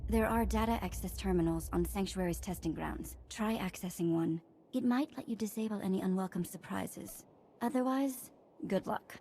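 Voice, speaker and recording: A woman's voice: mid-range voice, moderate pace, warm tone, a little hiss or hum, close to an everyday voice. A woman voicing a serious, businesslike mission briefer in a sci-fi game, matter-of-fact and informative. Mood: briefing. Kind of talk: scripted game dialogue.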